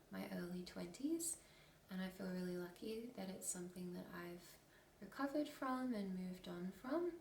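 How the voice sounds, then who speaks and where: A woman in her twenties with an Australian accent, talking in a hushed, half-whispered, hesitant way as an ASMR creator. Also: on the low side, creaky, reverberant, distant mic, slight background noise. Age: 20s. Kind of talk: soft-spoken ASMR chat.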